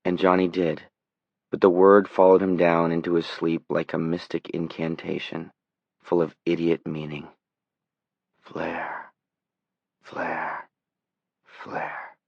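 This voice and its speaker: Male audiobook narrator, low register, dramatic scripted read, dry and slightly dull sound. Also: completely flat delivery, slow pace, vocal fry, pauses often, no echo.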